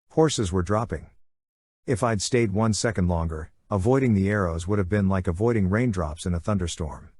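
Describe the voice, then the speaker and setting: A man's voice: low-pitched, a little mellow, dry recording, studio quality. A man narrating a first-person adventure novel in a conversational, punchy, dramatic way. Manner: conversational, punchy short phrases, acts out sound effects. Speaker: audiobook narrator.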